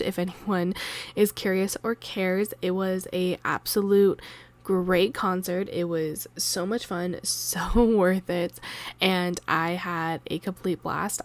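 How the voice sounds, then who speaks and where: A woman in her thirties with a raspy voice from a cold, chatting loosely and laughing in a planner voiceover. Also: mid-range voice. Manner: rambling, chatty, laughs often. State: sore throat from a cold. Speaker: planner vlogger. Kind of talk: casual voiceover chat. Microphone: voiceover mic.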